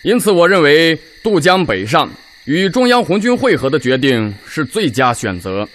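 An emphatic army officer in a radio drama. His voice sits a little high and swings widely as he formally argues his case. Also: big pitch swings, normal speed, a bit bright. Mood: insistent. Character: forceful male army officer arguing strategy.

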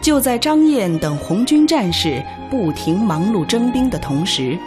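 A woman narrating a radio drama from a script in a formal, solemn, declamatory manner. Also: lowish voice. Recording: music clearly behind.

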